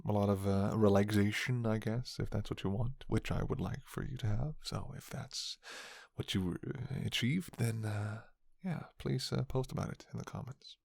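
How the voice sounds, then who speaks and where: A man's voice: on the low side, creaky, darkish tone, no room sound, studio quality. A man talking casually to his ASMR listeners in a hesitant, musing, rambling way. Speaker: host of an ASMR roleplay audio channel.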